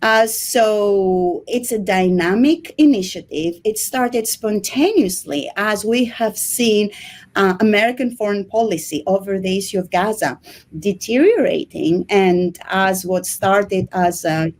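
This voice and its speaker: A woman from Greece in her thirties with a Greek accent, a history lecturer speaking in an interview with many pauses and ums. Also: mid-range voice, a little mellow. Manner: hesitant, many ums and restarts. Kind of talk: casual interview talk.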